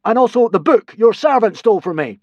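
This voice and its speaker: A man reading aloud, voicing a boy who poses as a thunder god and speaks in a booming, solemn, grand way. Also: lively intonation, brisk, dry recording, lo-fi sound.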